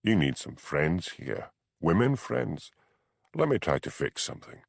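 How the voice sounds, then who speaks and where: Brief: narrator as a laid-back Highland bobby, Scottish Highland accent, dry close sound, even delivery. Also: male voice, measured pace, vocal fry, dry recording, exaggerated voice. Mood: calm. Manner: calm, practical, plain-spoken. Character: Scottish village policeman, easygoing, sly.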